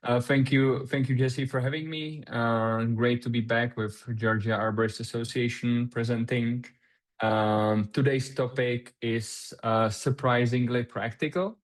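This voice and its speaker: A man's voice: medium pitch, steady tone, a little slow. Czech man presenting in a webinar. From Czech Republic. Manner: hesitant, many fillers and restarts. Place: remote video call.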